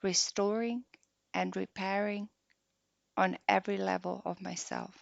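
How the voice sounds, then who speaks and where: Slow-paced woman guiding meditation, gravelly fry. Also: mid-range voice, fairly even intonation, no echo. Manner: soft, unhurried, with long pauses. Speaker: yoga nidra meditation guide. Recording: dull lo-fi close dry recording.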